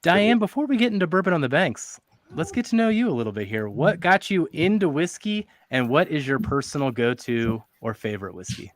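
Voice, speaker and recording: A man's voice: on the high side, expressive, fairly quick. A man hosting a casual whiskey show, upbeat and joking with his guests. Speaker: show host.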